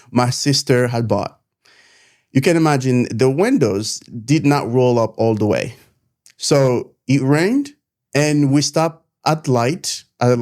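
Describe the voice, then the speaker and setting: A middle-aged man with a Haitian accent, telling his own life story to camera in an earnest, measured way, pausing between phrases. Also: medium pitch, darkish tone. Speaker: nurse turned content creator.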